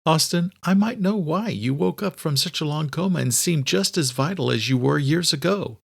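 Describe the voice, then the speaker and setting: Man voicing an earnest woman, raised pitch. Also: lively intonation, normal speed, vocal fry, slightly rough, heavily acted. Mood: uneasy, curious. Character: earnest adult woman, quick-thinking twin sister. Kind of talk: audiobook character dialogue.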